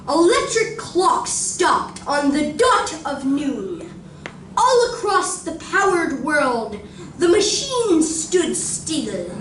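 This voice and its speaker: A woman narrating a radio drama in a theatrical, deliberate scripted style. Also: high-pitched, exaggerated voice. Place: library community room, live performance. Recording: echoey community room, distant, noisy.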